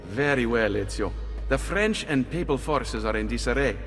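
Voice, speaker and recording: A man playing an expressive in a Renaissance video game drama. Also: mid-range voice, normal speed, faint music behind, close to an everyday voice. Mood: earnest.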